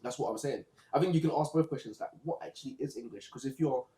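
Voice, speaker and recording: A man from Zimbabwe hosting a casual book discussion podcast, talking in a relaxed way with frequent restarts. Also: mid-range voice, echoey room. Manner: relaxed, halting with repeated restarts. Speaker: podcast host.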